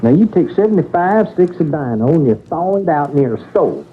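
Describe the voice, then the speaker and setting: An actor playing a crotchety, bossy old safe-blower in a radio drama, with a folksy, scolding, halting way of talking. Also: male voice, fairly high pitch, very animated, slight background noise. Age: older. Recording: muffled, phone-like radio drama recording.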